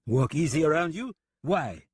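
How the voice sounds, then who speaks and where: A man's voice: fairly high pitch, sing-song, moderate pace, slightly rough, no room sound, studio quality, voice pushed up, full character voice. A man voicing a mocking, sly French gunman with a French accent in a western audiobook. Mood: taunting. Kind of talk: western audiobook character acting.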